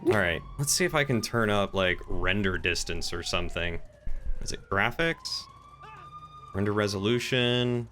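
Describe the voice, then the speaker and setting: Bright-voiced man streaming, noise behind him. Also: on the low side, moderate pace. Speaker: game streamer. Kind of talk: casual live-stream chat.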